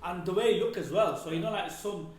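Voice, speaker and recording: A man's voice: sits high, quick pace. A man hosting a podcast interview, chatty and slangy, cutting in often with questions. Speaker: podcast host. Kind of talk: casual interview chat. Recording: very echoey room, faint music.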